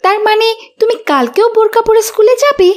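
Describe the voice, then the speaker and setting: A woman's voice: very high voice, expressive, fast, voice pushed up. A woman voicing a headstrong, vain little schoolgirl in a cartoon, whiny and defiant. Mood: teasing, questioning. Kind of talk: Bengali moral cartoon voice acting.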